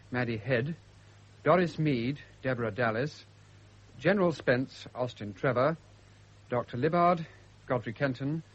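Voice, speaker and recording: A man with a husky voice makes a formal radio announcement. He reads measuredly from a script, at a middling pitch, on a dulled old recording. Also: highs cut off.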